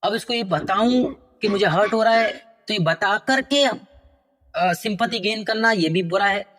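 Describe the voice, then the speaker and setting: A man voicing a meek, hurt mother who speaks softly and hesitantly. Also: sits high, a little slow, long reverb tail. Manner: hesitant, soft-spoken, faltering.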